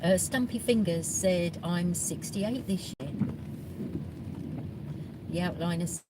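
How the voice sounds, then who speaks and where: A woman's voice: lowish voice, slow. A woman co-hosting a live drive stream, chatty and reading out viewer comments with many ums and uhs. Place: in a moving car. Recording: loud road noise in car.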